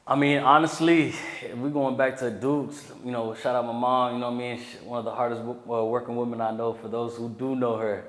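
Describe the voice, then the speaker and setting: Man from puerto rico, podcast guest, casual chat, loose and easygoing, roomy reverberant space, medium pitch. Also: little pitch movement, brisk, hardly any pauses, a bit bright. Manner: laid-back, many "you know" fillers. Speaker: podcast guest, tax preparer. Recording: roomy echoey hall.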